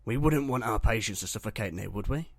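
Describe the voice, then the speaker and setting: Man playing a calm ship medic, dry sound. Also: on the low side, quick pace, dry recording. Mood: reassuring. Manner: matter-of-fact, reassuring. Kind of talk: Minecraft roleplay web series voice acting.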